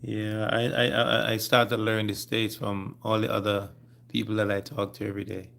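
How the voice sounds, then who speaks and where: Low-pitched gravelly Jamaican man. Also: completely flat delivery, a bit bright. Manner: halting, repeats phrases. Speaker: livestream host. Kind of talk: casual live-stream chat.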